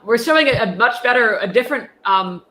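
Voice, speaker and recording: A woman's voice: fairly high pitch, expressive, fairly quick, sharp tone. A woman chatting casually as a panelist on a livestream. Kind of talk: casual chat. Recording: roomy, faint ambient noise.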